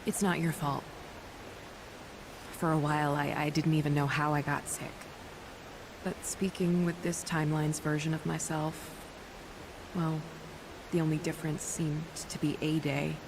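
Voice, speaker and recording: Low calm superhero lady for a game, gently teasing and kind, noisy ambience behind. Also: a little slow, long pauses. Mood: gentle, somber. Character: calm, kind, wry female superhero doctor wielding thunder hammer. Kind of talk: naturalistic video game dialogue.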